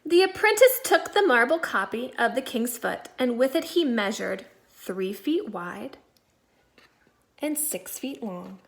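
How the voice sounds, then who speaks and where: A woman with a fairly high voice reads a children's storybook aloud like a primary school teacher. Her delivery is deliberate and expressive, leaning on key words so young listeners can follow. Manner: deliberate storyteller, stressing words, dropping to quiet asides. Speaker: second-grade teacher.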